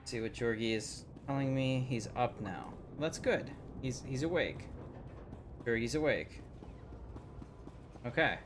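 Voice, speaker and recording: A man's voice: medium pitch, lively intonation, measured pace, pauses often, background noise. A man casually commenting on a game as he streams it, rambling and easygoing with plenty of fillers. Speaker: livestream gamer.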